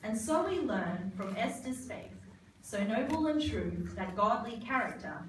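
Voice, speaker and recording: Casting: female storybook narrator, scripted verse, slightly high, distant in a noisy, very reverberant room. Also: sing-song, measured pace. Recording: very echoey, distant, noisy live hall.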